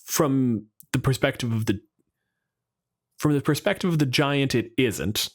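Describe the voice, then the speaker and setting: A man's voice: medium pitch, unhurried, slightly rough. A man co-hosting a podcast, chatting casually and wryly with plenty of swearing. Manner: casual, profane, wry. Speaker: podcast co-host. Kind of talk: casual chat about tabletop game monsters.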